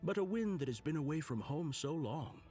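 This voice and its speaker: A man playing a courteous middle-aged clergyman in a video game, a gentle, wistful father who speaks in formal, measured, poetic phrases. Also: fairly high pitch, animated, soft background music, close to an everyday voice. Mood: gracious, wistful. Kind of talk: scripted video game dialogue.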